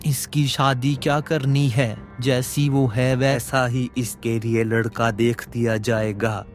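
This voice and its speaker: A man voicing a stern, dismissive king in a cartoon story, measured and commanding. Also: medium pitch, flat delivery, soft background music, lightly acted. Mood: cold, dismissive. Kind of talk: Hindi cartoon story dialogue.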